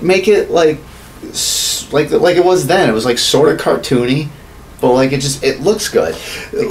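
Guy in his 30s ranting and swearing on a gaming podcast, noisy little room. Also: a little high. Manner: ranting, profane. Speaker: podcast co-host. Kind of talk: casual opinionated chat about games and movies. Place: small home studio room, indoors. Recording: clearly noisy room recording.

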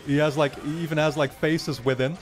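A man's voice: a little high, fairly even intonation, a little fast. A man in his twenties giving casual reaction commentary in informal, slangy speech, thinking aloud, close on a studio mic. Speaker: anime reaction YouTuber. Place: small furnished room, indoors. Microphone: studio mic on a boom arm, close.

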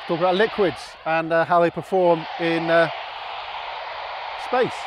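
A man's voice: a little high, steady tone, a little slow. A man around his forties in casual explanatory chat to camera, as an amateur radio vlogger. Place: outdoors on an open hilltop beside a road. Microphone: camera mic at about arm's length or more. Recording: noisy outdoor recording with passing traffic.

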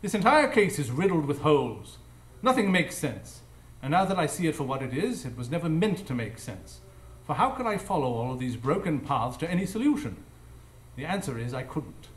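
An actor playing a stern, agitated analytical detective, formal and accusing, in a live radio drama. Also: male voice, on the high side, sing-song, brisk. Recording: roomy live stage echo.

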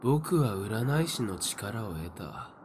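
A man's voice: fairly low pitch, sing-song, slow, darkish tone, a little hiss or hum, exaggerated voice. A man acting a cynical young seer in an audio drama, nonchalant, flippant and coolly taunting. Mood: matter-of-fact.

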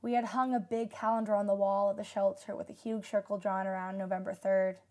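A woman's voice: medium pitch, level tone, brisk, darkish tone, close to an everyday voice. A young woman playing a solemn nineteen-year-old disaster survivor giving testimony in a radio drama. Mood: somber, reflective. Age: young adult.

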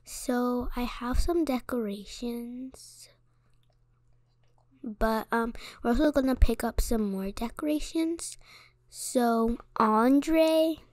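Female casual storyteller for a toy-game roleplay, higher register, unhurried, simple and a little hesitant. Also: darkish tone, no room sound, studio quality. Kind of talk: casual acted play narration.

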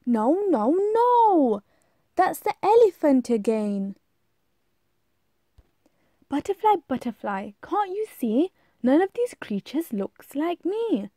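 A woman's voice: high-pitched, very animated, dark tone, dry recording, clean studio sound, heavily acted. A woman voicing a fretful, insistent young monkey in a rhyming storybook, plaintive and emphatic. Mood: exasperated. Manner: plaintive, emphatic, drawn-out protests. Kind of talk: rhyming storybook read-aloud.

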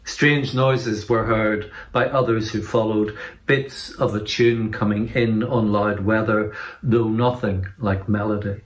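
Male reader, middle-aged, very slow solemn poetry recitation in an echoing room. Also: lowish voice, steady tone. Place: indoor room. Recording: roomy, echoing indoor sound.

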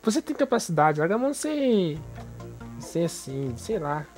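Emphatic gaming host chatting casually. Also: male voice, a little high, big pitch swings, normal speed. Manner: emphatic, slangy, repeats exclamations. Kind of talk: casual chat over gameplay. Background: video game music.

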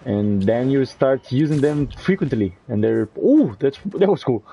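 Gameplay streamer, male, Portuguese accent, husky and mellow voice, relaxed chatter. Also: medium pitch, unhurried, rough recording. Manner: relaxed, chatty, answering viewers. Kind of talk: casual commentary while playing.

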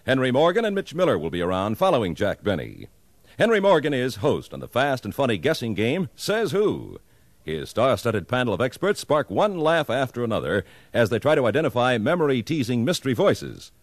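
Very lively American male radio announcer. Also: medium pitch, fairly quick, no room sound. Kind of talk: 1950s scripted promotional announcing.

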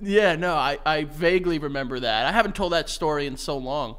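A man's voice: on the high side, a little fast, fairly bright tone. A young man co-hosting a podcast in casual chat, joking and laughing often, talking into a desk mic in a small studio. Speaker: podcast co-host. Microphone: desk mic on stand.

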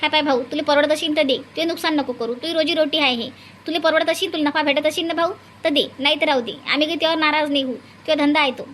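A woman's voice: high-pitched, fairly even intonation, fast talker, a bit bright, a little hiss or hum. A woman voicing an outspoken, bossy farmer's wife in a cartoon, with a Varhadi Marathi accent. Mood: bargaining. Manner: bossy, argumentative.

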